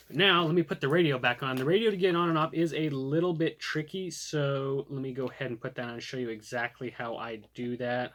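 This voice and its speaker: Man, medium-pitched voice, casual product reviewer, chatty, thinks aloud while demonstrating. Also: fairly bright tone.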